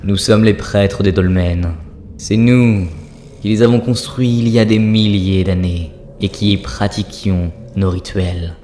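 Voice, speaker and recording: A man's voice: lowish voice, steady tone, unhurried, warm tone, lightly acted. A man playing an ancient dwarf priest spirit in a cartoon, a solemn and instructive wise guide. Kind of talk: cartoon voice acting, spiritual teaching. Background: background music.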